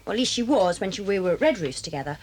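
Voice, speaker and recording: A woman playing a frank, lively British schoolgirl twin in a children's audio drama. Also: medium pitch, very fast, slight background noise, close to an everyday voice. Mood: disapproving.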